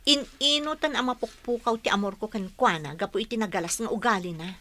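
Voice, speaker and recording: Woman acting as exasperated sweetheart, bright high voice. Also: brisk. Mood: fed up. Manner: complaining. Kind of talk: Ilocano audio drama acting.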